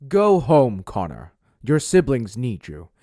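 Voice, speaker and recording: A man voicing a commanding werewolf pack leader in an amateur roleplay, calm and firm. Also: medium pitch, slow, no room sound, clean studio sound, full character voice.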